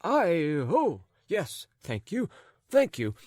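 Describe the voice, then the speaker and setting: A man voicing a foolish gentleman in a raccoon coat who is flustered, stammers and repeats himself. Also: sits high, wildly swooping pitch, slow, dry recording, studio quality, pitched above the performer's own voice, exaggerated voice. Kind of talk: audiobook character voice.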